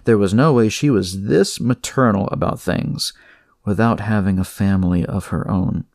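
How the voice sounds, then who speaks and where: A man's voice: on the low side, moderate pace, creaky, darkish tone, dry recording, clean studio sound. A man narrating scripted horror fiction as a storyteller.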